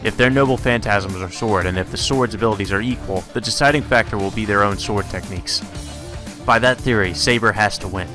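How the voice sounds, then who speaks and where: Male visual novel narrator, low register, dramatic storytelling delivery, faint music underneath. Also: brisk. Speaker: let's play narrator reading a visual novel aloud. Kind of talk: dramatic read-aloud narration.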